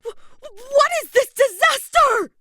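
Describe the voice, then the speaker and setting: A woman's voice: very high-pitched, animated, slow pace, pushed and bright, dry recording, studio quality, voice pushed up, full character voice. A woman voicing a dramatic, friendly young male queen in a storybook tale, theatrical and easily flustered. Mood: horrified.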